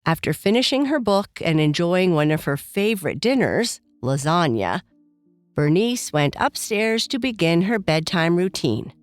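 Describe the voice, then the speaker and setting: A woman reading a scripted children's bedtime story as narrator, in a gentle, unhurried manner. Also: fairly low pitch, big pitch swings, dry recording, studio quality.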